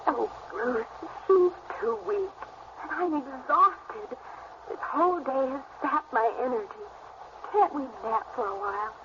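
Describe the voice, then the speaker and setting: A woman's voice: sits high, animated, a little slow. An actress playing a drained, protective wife and mother in a radio horror drama, weary and pleading. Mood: exhausted, pleading. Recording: muffled, noisy old radio recording.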